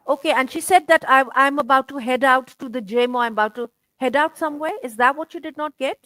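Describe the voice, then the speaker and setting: A middle-aged woman interviewing over a video call, chatty and halting, filling her talk with "you know" and restarts. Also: high-pitched, fairly bright tone, no room sound. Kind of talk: casual conversational interview.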